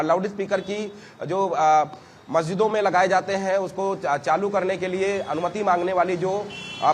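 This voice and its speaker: High-voiced male reporter, North Indian accent. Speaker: field news reporter. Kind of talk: live news report. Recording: faint background noise on live link.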